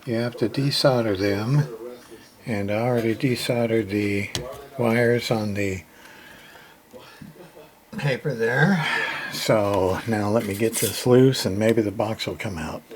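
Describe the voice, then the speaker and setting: A man's voice: on the low side, slow, pauses often, a crowd in the background. A man casually narrating hands-on repair work as a hobbyist, plain-spoken and muttering a little as he works. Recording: clear background noise.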